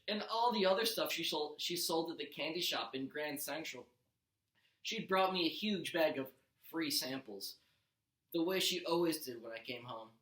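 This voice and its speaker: A man's voice: on the high side, fairly bright tone. A man, a teacher, narrating a first-person storybook aloud with expression. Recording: roomy echo.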